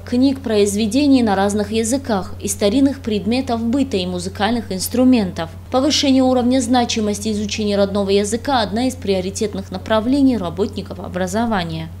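A woman's voice: a little high, dark tone, a little hiss or hum. A woman reporter reading scripted news narration.

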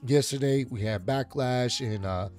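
Hoarse guy reacting on a home mic, slow easy talk, loud laughs. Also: medium pitch, soft background music. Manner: laid-back chatter with loud laughs and shouted reactions. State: hoarse, losing his voice. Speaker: reaction video host. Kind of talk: casual reaction commentary. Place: home streaming room, indoors. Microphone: studio mic on arm, headphones.

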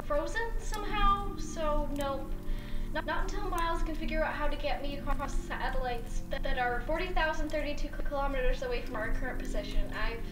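A woman's voice: high voice, distant mic, natural voice. A woman playing a touchy, defensive navigator who stammers, in a sci-fi audio drama. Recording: roomy, off-mic sound.

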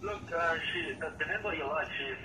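A man's voice: on the high side, fairly quick, crowd noise. Echoey phone voice, informal man. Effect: telephone speaker. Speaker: brothel receptionist. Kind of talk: casual customer phone call. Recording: noisy echoey phone speaker, distant.

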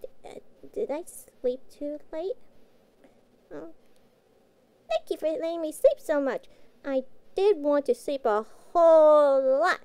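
A woman's voice: high voice, lively intonation, slow, long pauses, darkish tone, no echo. A woman playing a sweet, naive young catgirl pet in an audio roleplay, childlike, hesitant and full of innocent wonder. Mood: sleepy, grateful. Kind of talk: scripted audio roleplay acting.

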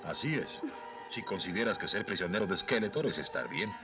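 Un actor con acento latinoamericano que interpreta a un joven héroe fuerte, seguro y directo, en una caricatura doblada. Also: male voice, on the low side, big pitch swings, brisk, vocal fry. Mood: confident, teasing. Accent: Latin American Spanish. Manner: assured, straightforward. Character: confident, brave young muscular hero. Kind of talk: dubbed 1980s cartoon acting. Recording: loud music behind dubbed cartoon dialogue.

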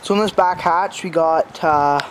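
Higher-voiced man, noisy outdoor phone recording. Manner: halting, informal. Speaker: amateur video reviewer. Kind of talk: casual unscripted product walkthrough. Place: outdoors in a backyard. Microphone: handheld phone camera. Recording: outdoor phone recording with clear background noise. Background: passing cars.

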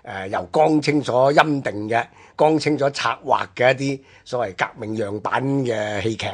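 A man's voice: mid-range voice, moderate pace, husky. A man with a Hong Kong Cantonese accent co-hosting a history discussion, explaining in conversational, list-like phrases. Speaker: radio co-host.

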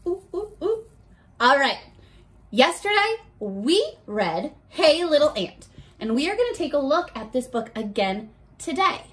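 A young woman leading a read-aloud lesson for children, talking to the camera in an animated, encouraging, child-directed way. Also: high voice. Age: young adult. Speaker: children's teacher. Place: bedroom at home, indoors.

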